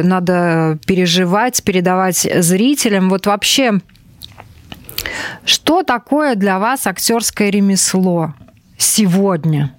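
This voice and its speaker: A woman's voice: mid-range voice, no echo. A woman hosting a conversational interview, speaking in reflective, thoughtful phrases. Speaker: host.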